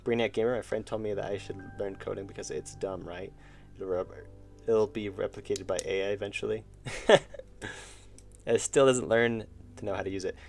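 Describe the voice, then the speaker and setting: A man's voice: fairly low pitch, fairly even intonation, moderate pace, creaky, a little mellow, soft background music. A man chatting casually on a live stream while drawing, rambling and thinking aloud with restarts and chuckles, his voice wearing out. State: losing his voice. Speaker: streamer and indie game developer.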